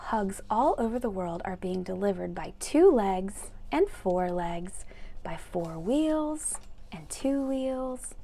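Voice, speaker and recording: A woman's voice: medium pitch, vocal fry, mellow, a little hiss or hum. A woman reading a children's picture book aloud expressively, with chatty asides to young listeners. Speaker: read-aloud storyteller for children.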